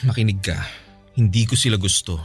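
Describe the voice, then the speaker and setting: A man's voice: fairly low pitch, a little fast, lightly acted. A young Filipino man playing a kind, earnest rich college student in love, coaxing, in a radio drama. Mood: earnest, insistent. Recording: music behind.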